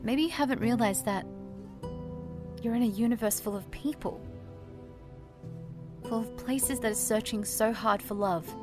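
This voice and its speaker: A woman playing a compassionate, firm figure who counsels gently and with measured calm in an audio drama. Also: fairly high pitch, music in the background, lightly acted. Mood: consoling. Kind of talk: scripted audio drama acting.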